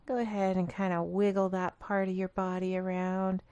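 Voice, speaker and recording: A woman leading a guided meditation in a calm, gentle, instructional manner. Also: medium pitch, moderate pace, clean tone, no echo. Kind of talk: guided body scan meditation.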